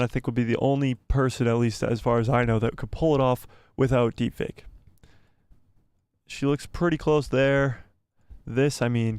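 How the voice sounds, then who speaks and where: A man hosting a live stream, chatting casually and reading out viewer comments. His voice is going hoarse and he rambles and repeats himself as he thinks aloud. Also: mid-range voice, darkish tone, no echo. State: losing his voice, coughing. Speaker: livestream host.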